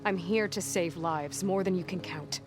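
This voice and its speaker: A woman acts a determined young warrior heroine for a dramatic game scene. She speaks in a fairly low register, terse and challenging, with principled resolve. Music plays clearly behind her. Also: normal speed, natural voice. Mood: earnest, firm. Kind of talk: dramatic video game cutscene acting.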